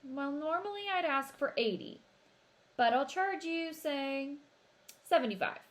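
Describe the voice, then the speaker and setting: A woman's voice: high-pitched, expressive, unhurried, pitched above the performer's own voice. Woman reading as a shady, curt shop owner. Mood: haggling. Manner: curt, salesman-like haggling. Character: shady, gruff male coin shop owner.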